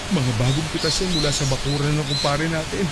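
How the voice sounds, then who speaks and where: An actor playing a tender, heartbroken husband in a sentimental radio drama, speaking in halting, emotional phrases. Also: male voice, on the high side, fairly even intonation, very noisy, lightly acted. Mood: grieving, pleading. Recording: loud music bed under the voice.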